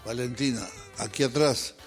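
A man's voice: mid-range voice, normal speed, soft background music. An older man hosting a casual interview, jokey and teasing, laughing often. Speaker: host.

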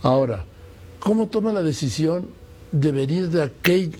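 A man's voice: a little high, expressive, moderate pace, mellow. A man in his seventies interviewing a guest, conversational, prompting with dates and history. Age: 70s. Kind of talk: casual interview.

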